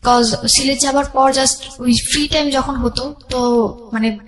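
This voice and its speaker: A young woman telling a personal life story as a guest, haltingly, repeating herself and mixing in English words. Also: high-pitched, steady tone, faint music behind.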